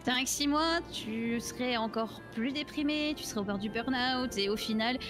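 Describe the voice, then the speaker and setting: A woman playing a gentle, supportive friend in an improvised game roleplay scene, reflective and encouraging. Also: fairly high pitch, moderate pace, music in the background, close to an everyday voice.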